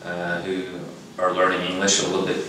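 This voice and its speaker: A man's voice: low-pitched, completely flat delivery, a little fast, sharp tone. A middle-aged man speaking earnestly in a conversational interview as a nonprofit director. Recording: echoey room, distant mic, faint noise.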